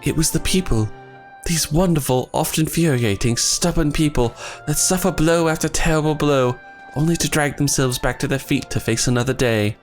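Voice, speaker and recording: A man reading the lines of an earnest young male scholar in his own voice, with formal, archaic phrasing and a voice that is going hoarse. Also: a little high, moderate pace, faint music behind, close to an everyday voice. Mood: solemn. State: losing his voice.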